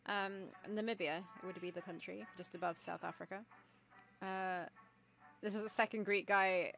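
A woman's voice: mid-range voice, steady tone, normal speed, gravelly, a bit bright, faint music behind. A woman from the UK, co-hosting a casual game stream, talking hesitantly and giggling often, on a headset mic. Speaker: streamer co-host. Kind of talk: casual let's-play chat.

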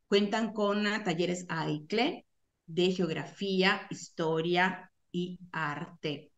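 Webinar presenter: middle-aged Peruvian woman, Peruvian Spanish accent, slightly low voice, halting explanatory delivery over a video call. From Peru. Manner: hesitant, many fillers and self-corrections.

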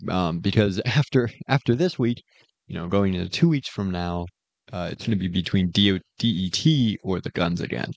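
A man's voice: low-pitched, moderate pace, gravelly, no echo. A man giving an informal voice-over progress update as a game mod developer, recorded close on a slightly dull microphone.

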